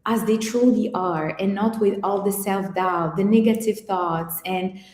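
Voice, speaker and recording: A woman from Morocco, a guest image consultant, answering interview questions in a measured, reflective way with frequent pauses. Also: mid-range voice, darkish tone. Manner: measured and reflective, pausing often. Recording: echoey room with long reverb.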